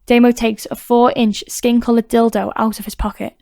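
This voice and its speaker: Narrator, female: scripted crime audiobook reading, mellow tone, slightly high register, steady. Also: moderate pace, creaky, no room sound, clean studio sound.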